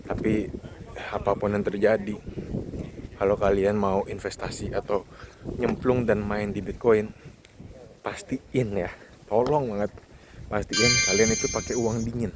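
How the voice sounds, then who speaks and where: A man's voice: on the low side, steady tone, measured pace, long pauses. A man gives casual, emphatic advice as a vlog host, repeating key words for stress. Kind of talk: casual monologue giving advice to viewers. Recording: clearly noisy recording with ambient background.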